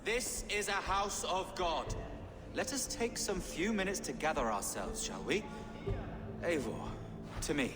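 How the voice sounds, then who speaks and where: A man's voice: high-pitched, lively intonation, unhurried, over music. A man playing a pious, pleading, anxious bishop in a video game, earnest and formal. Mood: urgent calming. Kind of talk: dramatic video game acting. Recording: reverberant hall, faint noise.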